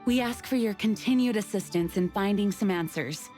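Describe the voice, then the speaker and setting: Fantasy game knight commander voiced by an actress: woman, mid-range, composed, dutiful, gentle music behind. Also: mid-range voice, moderate pace, lightly acted. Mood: formal, gracious. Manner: formal, composed. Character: earnest, dutiful female knight leader, burdened but composed. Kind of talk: video game voice acting.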